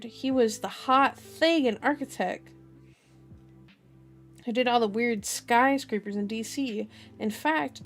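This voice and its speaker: A woman's voice: fairly high pitch, pitched above the performer's own voice, natural voice. A woman voicing a good-natured veteran policeman in his late thirties, folksy and mildly scolding, in a novel reading. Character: good-natured, gruff 38-year-old male senior police officer.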